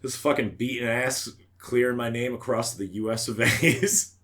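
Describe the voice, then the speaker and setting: A man's voice: medium pitch, moderate pace, a bit bright. An American man co-hosting a comedy podcast, bantering casually and irreverently with heavy swearing. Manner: heavily profane, irreverent. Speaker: podcast co-host.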